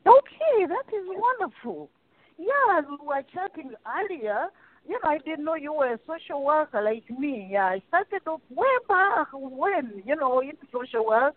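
A woman talk show host, a native Rutooro speaker, chatting slowly with her guest and laughing often. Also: sits high, dry recording. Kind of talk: warm-paced interview chat. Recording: tinny, phone-like and dry.

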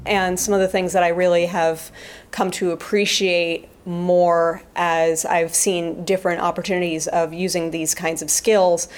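A woman talking reflectively in an interview as a Foley artist, articulate and thoughtful, with measured phrasing. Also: fairly low pitch. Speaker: guest, Foley artist and theater performer.

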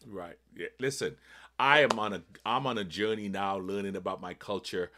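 A man's voice: fairly low pitch, moderate pace, background noise. A man from Trinidad on a church panel, rambling with many restarts. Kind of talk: panel discussion.

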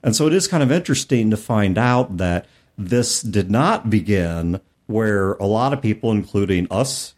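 A man with a low voice that moves up and down a lot as he talks through tabletop gaming. He explains in a casual podcast style, breaking off for rhetorical questions and quick asides. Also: animated, a little mellow. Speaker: podcast co-host.

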